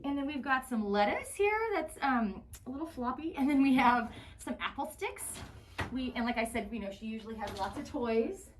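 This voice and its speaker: A woman's voice: sits high, expressive, moderate pace. A woman giving an educational tour of an animal shelter for children, explaining things simply and enthusiastically. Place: indoor animal shelter rooms. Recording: distant mic, faint background noise.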